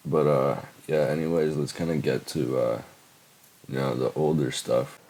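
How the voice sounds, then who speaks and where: Congested teen guy, very deep voice. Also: steady tone, moderate pace, gravelly, darkish tone. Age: late teens. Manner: rambling, sweary, lots of fillers. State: congested from a cold. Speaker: YouTuber reviewing his old music. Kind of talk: casual screen-recording commentary.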